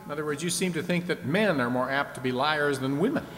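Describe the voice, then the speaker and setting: A man's voice: fairly high pitch, fast, a bit bright, close to an everyday voice. A man playing a genial, chatty host of an old-time radio audience show, explaining things and prompting with questions. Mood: genial, teasing. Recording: echoey hall with background noise.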